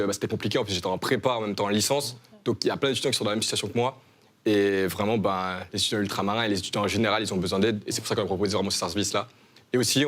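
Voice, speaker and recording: A young man from French Guiana, a student guest on a TV talk show, speaking earnestly about his charity. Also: on the low side. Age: young adult. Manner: earnest, insistent, repeats "vraiment". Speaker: guest, association co-founder. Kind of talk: TV studio interview.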